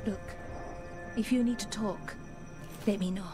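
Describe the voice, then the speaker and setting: A woman's voice: mid-range voice, steady tone, unhurried, close to an everyday voice. Female companion, game dialogue: kind, tentative, supportive friend played by a woman, prominent score underneath. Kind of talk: video game character dialogue. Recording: loud game music underneath.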